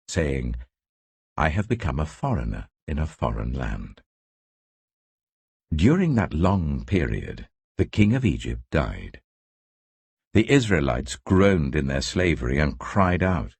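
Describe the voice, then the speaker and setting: A man reading scripture as a dramatic scripted narration, telling the story in a measured, expressive way. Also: deep voice, breathy edge, no room sound. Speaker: Bible narrator.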